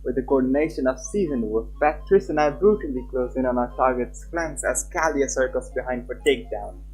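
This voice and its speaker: A man live-streaming a game, reading aloud and chatting, halting at times and stumbling over his words. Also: medium pitch, a little mellow, poor audio. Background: game music.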